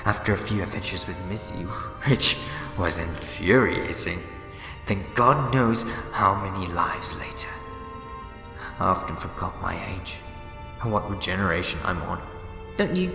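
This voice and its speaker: An actor playing a gleefully smug, scheming time-travelling villain in a fan audio drama, theatrical, wheedling and gloating. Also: male voice, deep voice, animated, a little slow, creaky, heavily acted. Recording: echoey long reverb, music behind.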